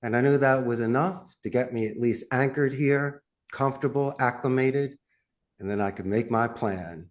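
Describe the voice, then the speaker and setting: Man, Philadelphia accent, podcast guest, punchy sales talk, big pitch swings, laughs a lot. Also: normal speed. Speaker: podcast guest, call center CEO. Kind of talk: animated interview answers.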